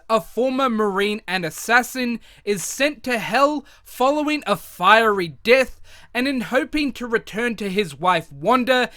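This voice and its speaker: High bright-voiced male reviewer. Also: dry recording, clean studio sound. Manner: measured, reflective, even delivery. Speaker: retrospective reviewer. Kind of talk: scripted narration.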